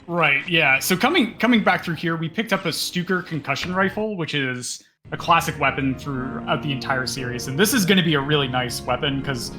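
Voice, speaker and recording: A man's voice: on the high side, animated, a little fast, hardly any pauses, music in the background. A man giving casual, explanatory live commentary on a video game speedrun.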